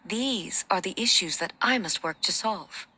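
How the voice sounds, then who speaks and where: A bright, solemn goddess ruler. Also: female voice, medium pitch, expressive, a little hiss or hum. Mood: reflective. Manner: measured, formal, stately delivery. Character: solemn, regal ruler goddess, composed and resolute. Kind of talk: video game voice acting. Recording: studio voice with faint music behind.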